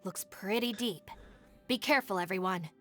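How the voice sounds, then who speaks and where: A woman's voice: mid-range voice, moderate pace, sharp tone, soft background music, lightly acted. A woman voicing a sensible young female gang deputy in a video game, dry, sardonic and composed. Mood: wary.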